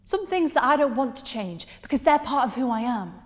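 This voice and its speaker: Actress playing wounded, defiant woman confronting a partner onstage. Lightly high, intense, halting, reverberant space. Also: lively intonation. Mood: hurt, defiant. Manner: intense, halting, drops to breathy whispers. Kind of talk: dramatic stage monologue.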